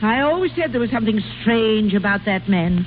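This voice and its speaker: A woman's voice: medium pitch, lively intonation, brisk, natural voice. An actress playing a deferential, anxious lady attendant to royalty, formal and theatrical, in an old radio drama with a tinny sound. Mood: accusing, urgent. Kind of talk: 1930s radio drama acting. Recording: tinny, faintly noisy old radio recording.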